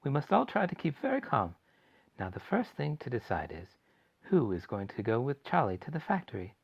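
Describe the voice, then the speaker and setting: A man doing a soft-spoken, sensible mother. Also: medium pitch, huge pitch swings, moderate pace, vocal fry, slightly rough, pitched above the performer's own voice, exaggerated voice. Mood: soothing. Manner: soft, gently reasoning. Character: calm, sensible mother, middle-aged woman. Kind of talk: audiobook character voice. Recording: dull lo-fi recording.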